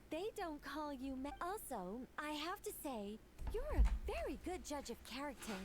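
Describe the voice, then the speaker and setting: A woman voicing a polite, enthusiastic young sculptor in a video game, with coy and expressive delivery. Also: sits high, background noise.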